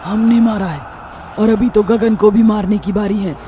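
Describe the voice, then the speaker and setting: A woman's voice: medium pitch, fairly even intonation, moderate pace, dark tone, close to an everyday voice. A woman voicing a vengeful but righteous witch ghost in a horror cartoon, with a stern, dramatic delivery. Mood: menacing. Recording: music behind the voice.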